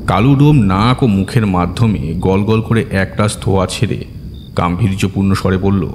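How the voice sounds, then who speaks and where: A man's voice: sits low, moderate pace, background noise. A man reading a scripted horror story as a dramatic narrator. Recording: long reverb, music bed behind.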